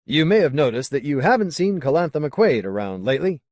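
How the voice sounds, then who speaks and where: Man voicing a zealous colony leader, raised animated pitch. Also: dry recording, studio quality. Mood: explanatory, firm. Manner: formal, deliberate, oratorical. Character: solemn, zealous male colony leader addressing followers. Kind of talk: audiobook character dialogue.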